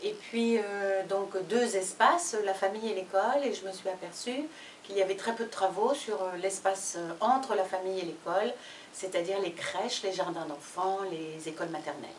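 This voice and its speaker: Woman, sociolinguist professor, measured and fluent, roomy echo, faint ambience. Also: mid-range voice, slight background noise. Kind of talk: book presentation talk. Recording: echoey room from far off.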